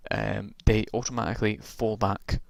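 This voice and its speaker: A man casually walking through tabletop game rules, rambling with lots of ums and restarts, his voice gone croaky from talking so long. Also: on the low side, flat delivery, moderate pace, no echo.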